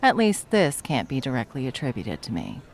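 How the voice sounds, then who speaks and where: A performer playing a jaded, sarcastic cafe baker with a villainous side, speaking in a dry, menacing deadpan for a comedy audio roleplay. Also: medium pitch, normal speed, a little hiss or hum. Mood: sarcastic annoyance. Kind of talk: ASMR comedy roleplay acting.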